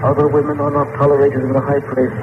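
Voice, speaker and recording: An actor playing a solemn, mysterious emissary in a 1940s radio drama, speaking in a formal, stilted, measured way. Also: male voice, mid-range voice, completely flat delivery, lightly acted. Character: solemn mysterious male emissary of hidden masters. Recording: hissy lo-fi old radio transcription.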